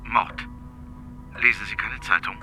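A man's voice: fairly low pitch, steady tone, a little slow, bright, forceful tone, soft background music. An actor playing a male lawyer in a radio drama, formal and urgent, with a slightly hesitant manner. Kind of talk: radio drama phone dialogue.

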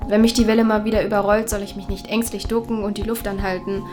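A woman's voice: mid-range voice, little pitch movement, quick pace, lightly acted. A woman playing a guarded, sarcastic young DJ in a naturalistic web drama. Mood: reflective. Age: young adult. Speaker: DJ and online creator. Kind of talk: naturalistic web series acting. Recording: loud music behind the voice. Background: music.

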